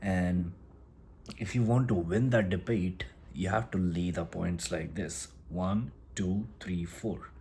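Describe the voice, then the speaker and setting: A man with a very low voice gives a casual motivational talk in English, which is not his first language. He rambles, circles back and restarts his phrases as he goes. A faint hum of ambience sits behind him. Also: slow, creaky, dark tone. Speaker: self-improvement vlogger.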